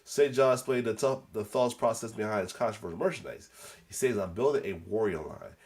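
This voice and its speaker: A man's voice: fairly low pitch, fairly quick, darkish tone. Congested man rambling through news reaction. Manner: rambling, repeats "as well too", many restarts; occasional coughs. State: congested with a cold. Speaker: host.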